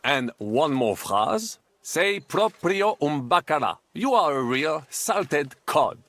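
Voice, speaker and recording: An actor voicing a suave, patient foreign gentleman who teaches courteously in a video game. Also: a little high, big pitch swings, slow, dry recording, heavily acted. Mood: instructive. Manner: courteous, instructive, flowery.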